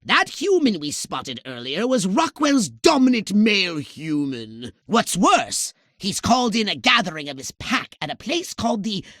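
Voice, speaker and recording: Snooty evil alien boss from a video game, super theatrical, sharp forceful voice, very dry close recording. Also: male voice, sits high, wildly swooping pitch, measured pace, few pauses, no room sound, exaggerated voice. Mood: urgent scheming. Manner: pompous, theatrical, clipped enunciation, sardonic. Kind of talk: scripted video game mission dialogue.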